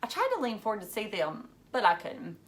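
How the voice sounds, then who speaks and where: A woman narrating a children's novel aloud in an expressive read-aloud style. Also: mid-range voice, brisk.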